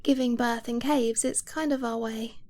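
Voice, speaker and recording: A woman playing an affectionate, earnest dragon-blooded girlfriend in an ASMR romance roleplay, speaking softly and haltingly, often dropping to a whisper. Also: fairly high pitch, fairly even intonation, close to an everyday voice. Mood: earnest, intimate.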